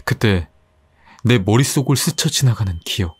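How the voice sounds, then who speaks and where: A man narrating a horror story in a slow, suspenseful scripted delivery. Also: lowish voice, lively intonation, husky.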